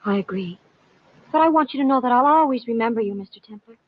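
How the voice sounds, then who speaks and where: An actress playing a sentimental, lovestruck bride-to-be in a gushing 1950s radio drama style. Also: female voice, a little high, animated, fast, no echo. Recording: muffled old 1950s radio broadcast.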